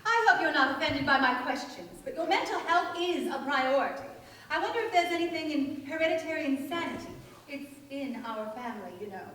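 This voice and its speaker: A woman's voice: high-pitched, lively intonation, fairly quick, a bit bright. A woman playing a bossy, neurotic, man-scorning older cousin in a staged radio play, with theatrical delivery. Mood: imperious. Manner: theatrical, declamatory. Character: bossy, neurotic, man-hating busybody spinster-like divorcee cousin. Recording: echoey hall, distant mics, faint noise.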